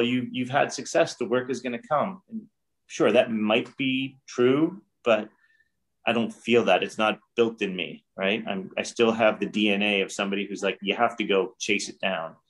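A middle-aged man speaks in a lowish, unhurried voice, reflecting earnestly and often restarting his sentences. He is talking from a room at home over a webcam call. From New York. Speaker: guest director. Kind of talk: casual interview. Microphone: video call webcam.

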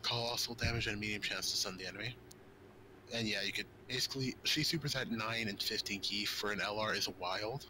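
A man with a low voice talks casually as a gaming co-host. He reads out stats and translations in an informal way. There is clear noise behind him. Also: brisk. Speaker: co-host reading game card translations. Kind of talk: casual gaming news chat, reading out stats.

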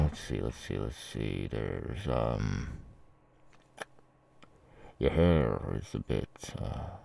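A man voicing a menacing, mocking ex-convict kidnapper in an ASMR audio roleplay, with a halting, sneering drawl. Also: rumbling low, creaky, dark tone, exaggerated voice. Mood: creepy, teasing.

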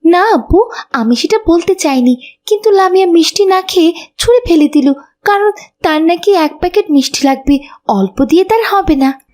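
A woman's voice: very high voice, lively intonation, moderate pace, a little mellow. A woman voicing a pious, earnest young girl in a children's cartoon, with a moralizing manner. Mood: dutiful.

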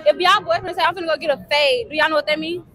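A young woman from Texas with a very high, bright voice runs a casual street interview. She sounds upbeat and repeats her little phrases quickly. It is recorded on a handheld phone. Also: soft background music. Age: young adult. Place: outdoors in a busy city square and inside a store. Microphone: handheld phone mic.

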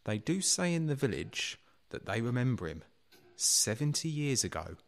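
A man's voice: medium pitch, very animated, moderate pace, gravelly. A man playing a nervous, deferential butler in a radio play, hesitant and stammering. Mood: confiding. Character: nervous, deferential male butler cornered by his betters.

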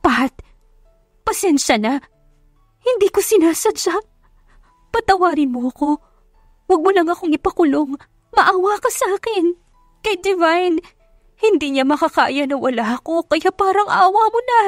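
A woman voicing a scheming middle-aged aunt in an audio drama, in shrill, desperate, pleading outbursts. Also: animated, normal speed, pauses often, dry recording, studio quality, soft background music. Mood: tearful pleading. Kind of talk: Tagalog audio drama acting.

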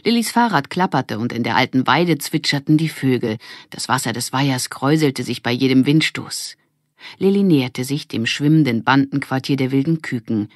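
A woman reading a children's audiobook as narrator, with expressive scripted storytelling. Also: rumbling low, moderate pace, no room sound, studio quality.

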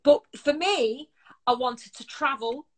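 A woman in her thirties with a husky voice, a pop singer chatting as a guest over a phone held close to her face, laughing through her stories. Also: high voice, slow, fairly bright tone. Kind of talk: casual video-call interview. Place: room indoors at home.

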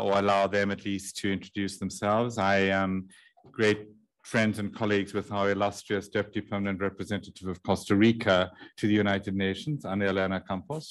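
A middle-aged man with a deep voice, chairing a workshop and giving formal opening remarks into a table microphone in a large conference room, measured and with frequent ums. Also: fairly bright tone. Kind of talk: formal speech, partly read.

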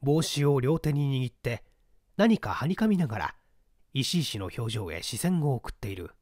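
A man narrating a mystery story aloud in a theatrical, expressive reading style. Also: medium pitch, moderate pace, no room sound, studio quality. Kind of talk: scripted audiobook narration.

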